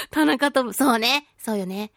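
鼻声ぎみで高い声の女性ラジオパーソナリティ. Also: female voice, high-pitched, slow, dry recording, clean studio sound. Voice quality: slightly nasal from a cold. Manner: animated, playful, self-deprecating. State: mild head cold. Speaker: radio show host and voice actress. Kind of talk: chatty solo radio talk with listener mail.

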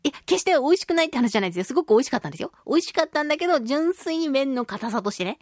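High-pitched, animated woman radio host. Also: very fast, no echo, clean studio sound. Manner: playful, expressive, giggly. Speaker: radio show host, voice actress. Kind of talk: casual chatty talk reading listener mail.

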